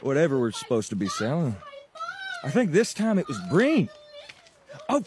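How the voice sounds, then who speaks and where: A man's voice: a little high, huge pitch swings, moderate pace, background noise. A man voicing a clumsy, friendly puppet mailman with a Southern American accent and a folksy, stammering, rambling way of talking. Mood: chatty.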